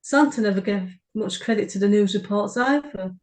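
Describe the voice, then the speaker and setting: Female writer and co-host, medium-pitched, informal short story reading. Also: normal speed.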